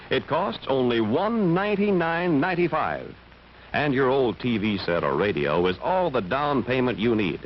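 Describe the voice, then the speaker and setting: A man's voice: mid-range voice, slight background noise. A man reading scripted mid-century broadcast advertising as an announcer, with an emphatic sales delivery. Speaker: commercial announcer. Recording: muffled vintage broadcast. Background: loud music.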